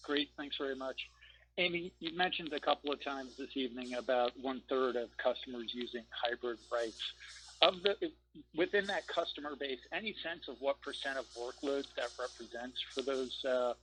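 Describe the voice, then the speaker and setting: A man with a middle-pitched voice asks a question as an analyst on a conference call. There is faint noise behind him. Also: normal speed, a bit bright, poor audio. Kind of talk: brief question on an earnings call.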